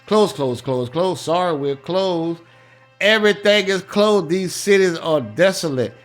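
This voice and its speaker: A man's voice: high voice, lively intonation, measured pace. American man preaching scripture over music. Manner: emphatic, repetitive, colloquial. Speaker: street-style Bible preacher. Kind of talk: sermon, reading scripture with running commentary.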